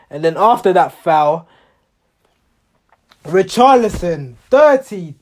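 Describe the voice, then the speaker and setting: A man with a hoarse voice recapping a football match as a passionate, exasperated fan, often repeating himself. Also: on the high side, darkish tone.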